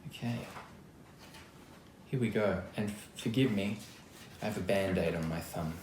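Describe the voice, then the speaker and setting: Australian male, lowish voice, expressive children's storytime read-aloud with chatty asides. Roomy sound with background noise. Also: a little slow. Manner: expressive, chatty, addressing young listeners directly. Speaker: actor reading a children's book aloud.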